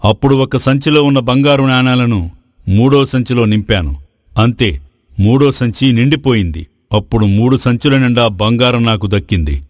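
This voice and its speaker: A man's voice: mid-range voice, normal speed, dry recording, clean studio sound, lightly acted. A man playing a wise, paternal king in a moral story, explaining things with familiar authority. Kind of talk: Telugu moral story voice acting.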